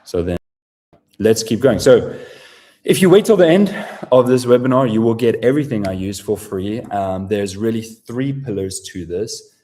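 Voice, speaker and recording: A South African man hosts a live sales webinar, talking in a low, dark, mellow voice. His delivery is casual and salesy, full of swearing, fillers and restarts as he pushes his offer to viewers. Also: lively intonation, normal speed. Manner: casual, profane, filler-heavy sales talk. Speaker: webinar presenter.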